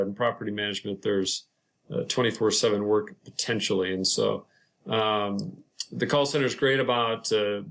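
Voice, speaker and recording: Male tutorial narrator, mid-pitched, unscripted and explanatory, talking through software steps informally with a halting delivery. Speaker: property manager presenting a software tutorial.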